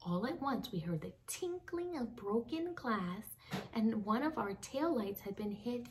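A woman's voice: a little high, expressive. Young woman reading a story aloud, slightly distant. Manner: dramatic pauses, addresses young listeners. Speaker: children's book reader. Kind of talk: expressive read-aloud storytelling. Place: small home room with bookshelves. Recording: slightly distant mic, faint background noise.

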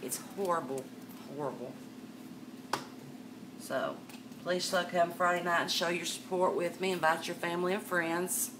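Older woman host, low voice, chatty live-sale patter, webcam a bit far off with room noise. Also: fairly even intonation, moderate pace, long pauses. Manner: chatty, calls viewers darling and miss. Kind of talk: live sales stream, chatting to viewers. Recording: distant mic with background noise.

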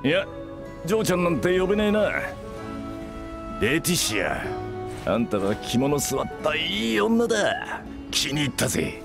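An actor playing a boisterous middle-aged father and company head in a game, gruff and rough-spoken. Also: fairly high pitch, big pitch swings, a little slow, long pauses, exaggerated voice. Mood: admiring. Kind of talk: Japanese game dub acting. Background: game music.

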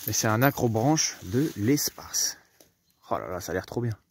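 A man's voice: on the low side, expressive, normal speed, slightly rough. A young man from France narrating a casual travel vlog to a camera held at arm's length, rambling with lots of fillers, outdoors and inside a camper van. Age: young adult. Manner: chatty and rambling, with many fillers. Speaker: travel vlogger. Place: outdoors in a foggy forest and parking lot, also inside a camper van. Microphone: handheld camera at arm's length.

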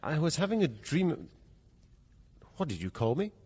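A man's voice: a little high, big pitch swings, normal speed, darkish tone, slightly rough. Guy playing a feverish english gent in an audio drama, haunted, voice jumps all over, kinda muffled. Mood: confused, wry. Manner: dramatic, swinging between dazed murmur and panicked cries. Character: feverish, haunted English gentleman narrating his ordeal. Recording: muffled, noisy recording.

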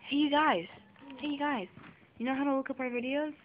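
A young girl chatting playfully in a bedroom, filming on a handheld camera, with silly drawn-out words. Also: high-pitched. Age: child. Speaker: vlogger. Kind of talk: goofy sleepover vlog chat. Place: small bedroom at home. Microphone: handheld camera mic. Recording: muffled lo-fi camera audio with faint hiss.

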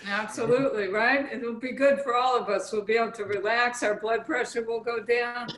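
A woman's voice: a little high, steady tone, moderate pace, a bit bright. A woman from California, a playwright, chatting casually and readily amused on a video call. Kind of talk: casual chat. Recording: roomy, echoing video call.

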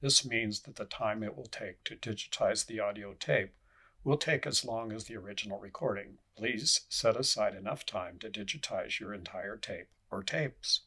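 Guy reading a how-to script, formal and measured, husky creaky voice. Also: fairly low pitch, a bit bright. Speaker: instructor. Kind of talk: scripted instructional narration.

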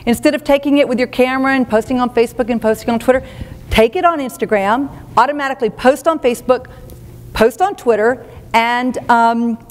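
A woman with a fairly high voice gives a seminar keynote in a brisk, practical, no-nonsense manner. Her words ring out with the long reverb of a big event hall. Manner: brisk, practical, direct advice. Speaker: keynote speaker. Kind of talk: live seminar talk.

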